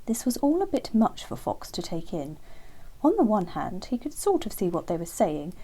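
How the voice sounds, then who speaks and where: A woman narrating a children's bedtime story in a gentle, expressive storyteller's manner. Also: medium pitch, normal speed, husky.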